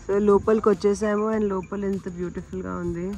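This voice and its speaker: A young woman vlogging outdoors on a kayak, chatty and excited, talking into a phone held at arm's length. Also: medium pitch, fairly quick. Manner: chatty, excited, mixing English into Telugu. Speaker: travel vlogger. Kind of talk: casual vlog narration. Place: outdoors on a kayak on open water. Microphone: phone held at arm's length for selfie video.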